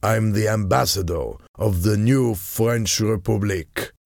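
A man voicing a cold, cunning French ambassador of forty in an audiobook, smooth-tongued, deliberate and menacing. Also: fairly low pitch, lively intonation, darkish tone, no room sound, studio quality, full character voice. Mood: proud, probing.

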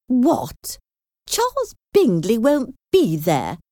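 A woman's voice: medium pitch, very animated, takes it slowly, darkish tone, no room sound, studio quality, voice pushed up. A woman voicing a fussy, scheming, self-satisfied middle-aged mother in a storybook, with an anxious, emphatic manner. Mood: alarmed.

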